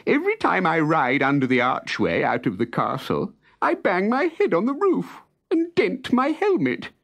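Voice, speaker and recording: A storyteller voicing a tearful soldier guard in a children's storybook, speaking high and wailing through sobs. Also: wildly swooping pitch, slow pace, fairly bright tone, full character voice. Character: sobbing soldier guard, adult man, tearful.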